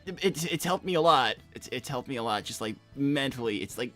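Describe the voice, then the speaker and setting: A man plays an earnest young cartoon hedgehog with a sharp, bright voice. He talks haltingly, doubling back on words and trailing off, insecure and rambling in a loose roleplay stream, with faint music underneath. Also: mid-range voice, expressive. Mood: flustered, defensive. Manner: halting, stammering, repeats words. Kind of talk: improvised in-character livestream roleplay.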